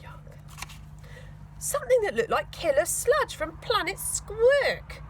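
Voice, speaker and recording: A woman's voice: extremely high pitch, big pitch swings, slow, slight background noise, rough recording. A woman reading a children's storybook aloud expressively, with dramatic emphasis. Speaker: school teaching assistant reading a children's book.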